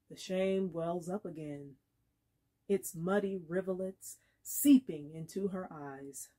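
A woman in her thirties gives an expressive dramatic reading of literary fiction, with deliberate phrasing and pauses. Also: lowish voice. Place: small indoor room against a plain wall.

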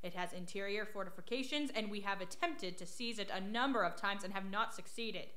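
A woman's voice: medium pitch, brisk, bright, forceful tone, natural voice. An actress playing a firm, businesslike female military commander in a radio drama, speaking formally. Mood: stern. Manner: formal, briefing.

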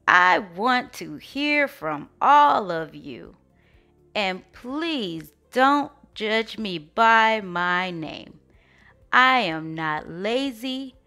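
A woman voicing a patient, earnest sloth in a storybook, speaking in a drawn-out, very slow way. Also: medium pitch, gravelly, bright, forceful tone, clear, resonant tone, exaggerated voice. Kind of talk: storybook read-aloud.

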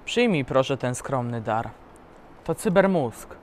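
A grateful leader of a hungry people acted by a young man, speaking formally at a middling pitch. The amateur film dialogue has noticeable ambient noise. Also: very animated, unhurried. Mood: grateful, solemn. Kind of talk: amateur school film acting.

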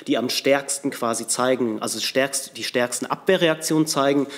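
A man in his thirties explaining research findings at a press conference, informally and with careful qualifications. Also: mid-range voice. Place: large indoor press conference room.

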